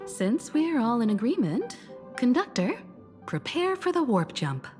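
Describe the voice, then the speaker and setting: A woman's voice: on the high side, sing-song, measured pace, a little mellow, in a large hall, soft background music. A woman voicing a composed, motherly crew leader in an anime game, poised and articulate.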